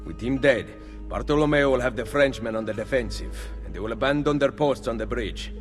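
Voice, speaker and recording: An actor playing a resolute, commanding leader of Italian assassins in a video game, with an Italian accent. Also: male voice, mid-range voice, fairly quick, close to an everyday voice. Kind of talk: dramatic video game acting. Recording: long hall reverb, faint music behind.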